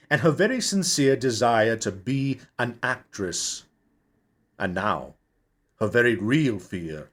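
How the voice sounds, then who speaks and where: A man, an actor, narrating a story from a script with measured, dramatic phrasing. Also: medium pitch. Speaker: actor reading an audiobook.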